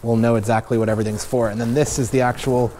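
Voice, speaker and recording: A young man casually walking viewers through installing a snowmobile accessory in a garage workshop, relaxed and plain-spoken with many fillers. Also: medium pitch, fast, noisy background. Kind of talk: casual step-by-step install walkthrough.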